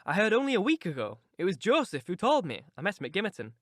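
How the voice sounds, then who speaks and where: A sensible middle-aged housekeeper gives an earnest warning, as a man reading aloud puts her on. The voice is fairly high and lively in pitch, recorded dry and close. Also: quick pace, no room sound, exaggerated voice. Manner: earnest, cautioning.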